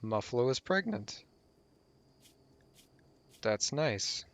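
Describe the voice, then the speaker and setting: A man's voice: medium pitch, sing-song, slow pace. A man talking casually over a strategy game guide while losing his voice, rambling and trailing off with fillers. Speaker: gameplay guide host.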